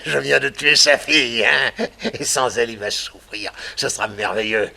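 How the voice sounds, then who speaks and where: An older man playing a mad, vengeful murderer who gloats theatrically, with a gravelly, husky voice, in a 1960s French radio crime drama. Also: mid-range voice, moderate pace, bright, forceful tone. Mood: bitter gloating.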